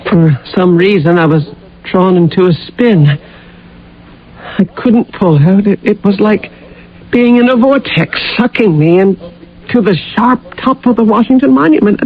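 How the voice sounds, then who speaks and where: Woman acting a slow, dazed lady pilot. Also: mid-range voice, natural voice. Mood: haunted, halting. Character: dazed, haunted woman pilot recovering from a crash. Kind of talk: radio drama acting. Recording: tinny old radio broadcast.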